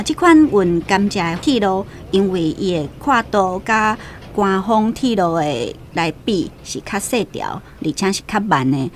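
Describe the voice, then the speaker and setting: A woman's voice: medium pitch, a little hiss or hum. A woman with a Taiwanese accent giving explanatory commentary on a book for radio.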